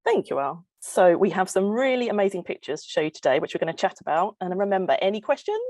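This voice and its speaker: A woman researcher hosting a live online science session, welcoming and explaining things clearly over a video call. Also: mid-range voice, lively intonation, fast talker, darkish tone, no room sound. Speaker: host and postdoctoral researcher. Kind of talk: friendly live webinar presenting.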